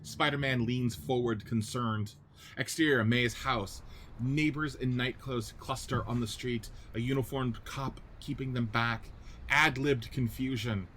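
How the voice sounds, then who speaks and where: A man's voice: medium pitch, unhurried, slight background noise. Man reading stage directions. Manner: steady, clipped direction reading. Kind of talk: script reading in 1940s radio play style.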